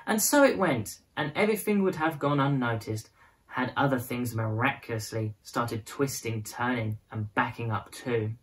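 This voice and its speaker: A woman reading a children's picture book aloud as a storyteller, with expressive, dramatized phrasing. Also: rumbling low, normal speed. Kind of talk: scripted storybook narration in prose and verse.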